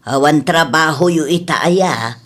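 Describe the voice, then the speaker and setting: A woman playing a caring, fretful mother in a radio drama, gently cautioning. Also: deep voice, unhurried.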